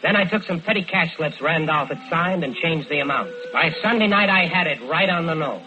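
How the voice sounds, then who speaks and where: An actor playing a smooth-talking American killer who tells his own crime in vintage radio drama style. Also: fairly high pitch, animated, a little fast, a crowd in the background, close to an everyday voice. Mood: tense, confessional. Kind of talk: 1940s-50s radio crime drama acting. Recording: dull lo-fi old radio recording.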